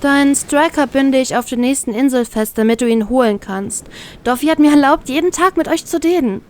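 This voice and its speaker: A woman's voice: high-pitched, fairly quick, a little mellow, voice pushed up, close to an everyday voice. A woman reader voicing a young woman who talks in a light, sweet way and stammers when shaken. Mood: dreamy cheerful. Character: young woman, dreamy, cheerful and manipulated.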